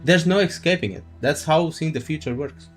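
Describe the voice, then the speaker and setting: A man's voice: on the high side, moderate pace, soft background music. A man doing casual, musing live commentary as he streams a game, rambling and swearing casually.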